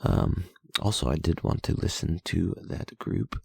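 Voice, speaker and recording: Very low, gravelly young man. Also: unhurried, no room sound. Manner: profane, self-deprecating, rambling. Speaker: vlogger reading his journal. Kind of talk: late-night journal reading, casual.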